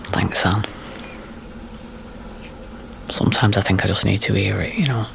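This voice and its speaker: An actress playing a weary, homesick, doubting miners' wife in a naturalistic radio drama. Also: female voice, rumbling low, measured pace, gravelly, a bit bright, a little hiss or hum, heavily acted.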